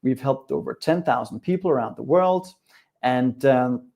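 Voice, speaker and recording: A middle-aged man from Denmark with a Danish accent leads a live webinar as a coach. He speaks upbeat and direct, talking straight to his viewers and their chat, with a voice of ordinary pitch for a man. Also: moderate pace, gravelly, darkish tone.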